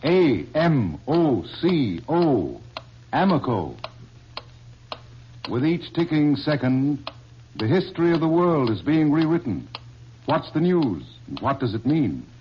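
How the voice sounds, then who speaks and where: A man's voice: fairly high pitch, big pitch swings, takes it slowly. A man giving a dramatic 1930s radio sponsor introduction. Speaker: sponsor announcer. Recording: dull old radio broadcast with faint hiss.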